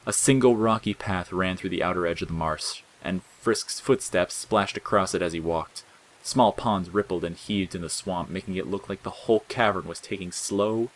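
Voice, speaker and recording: Male narrator for audio fiction, low register, scripted storytelling. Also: a bit bright, low-quality recording.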